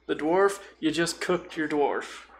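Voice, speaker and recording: A man's voice: a little high, slow pace, slight background noise. A man chatting casually about tabletop gaming in a joking, informal way with some mild swearing. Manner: joking, informal, mildly profane. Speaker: podcast host.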